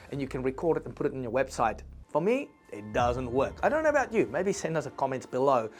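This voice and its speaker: Earnest male business presenter, faint music. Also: mid-range voice. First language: Afrikaans. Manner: earnest, conversational, with asides to the viewer. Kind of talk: instructional video talk.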